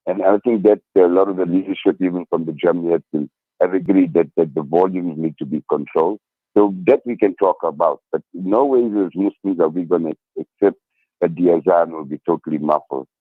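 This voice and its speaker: Deep man on tinny phone. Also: steady tone, brisk, no echo. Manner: earnest, repeats words and phrases. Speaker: interview guest, local community representative. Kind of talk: phone interview. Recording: tinny narrow-band phone line.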